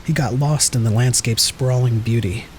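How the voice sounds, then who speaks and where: A man's voice: mid-range voice, normal speed, slight background noise. A man reading scripted dramatic narration as the narrator of an audio story.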